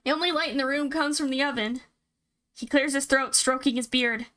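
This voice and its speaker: A woman streaming a game, reading the story aloud and breaking in with excitable reactions. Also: high voice, a little fast. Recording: loud music behind the voice. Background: loud game music.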